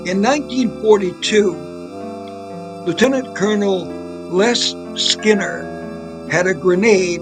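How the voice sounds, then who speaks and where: A man's voice: high voice, low-quality recording. A man hosting and narrating a scripted trivia segment in a storytelling style. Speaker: producer and host.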